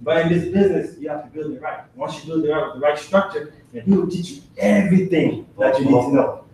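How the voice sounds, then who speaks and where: A young man talks about money and business with animated, laughing energy, often tossing in "right?" His voice sits a little high. He is far from the mic in a large, very echoey room with a faint hiss. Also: fast talker. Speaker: grad student and business member. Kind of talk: testimonial talk at a business meeting.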